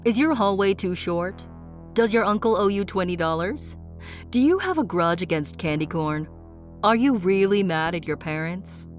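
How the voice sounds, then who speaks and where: A woman's voice: medium pitch, lively intonation, moderate pace. A woman announcer reading a scripted comic podcast advertisement built on rhetorical questions. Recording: faint synth music behind voice.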